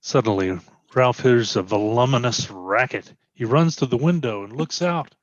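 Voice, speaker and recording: A man's voice: mid-range voice, normal speed, dry recording. A man reading scripted stage directions as present-tense narration, in a slightly muffled recording.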